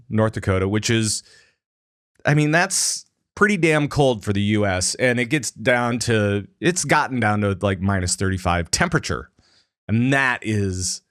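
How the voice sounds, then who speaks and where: A man's voice: fairly low pitch, fairly bright tone, dry recording, studio quality. A young man hosting a casual podcast chat, loose and rambling, full of fillers and restarts. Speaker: podcast host.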